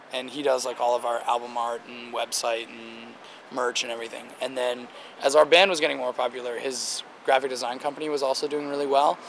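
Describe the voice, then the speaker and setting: A man in his late twenties, a band singer, answering interview questions in a relaxed, chatty way, his voice a little rough and strained. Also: medium pitch, a little hiss or hum, poor audio. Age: 20s. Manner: relaxed, chatty, many "like" fillers. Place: outdoor table by a river. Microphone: handheld interviewer mic near the table.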